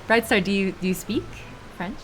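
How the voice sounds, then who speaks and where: A woman streaming a game and chatting casually and playfully, thinking out loud in a soothing voice. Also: medium pitch, moderate pace, music in the background, rough recording. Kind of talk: casual live chat while playing a story game.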